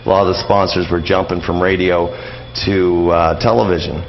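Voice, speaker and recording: A middle-aged man giving a casual radio interview, explaining and reminiscing in a halting, rambling way that often circles back on phrases. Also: sits low, flat delivery. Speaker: interview guest, old-time radio enthusiast. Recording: dull, lo-fi radio interview with noise.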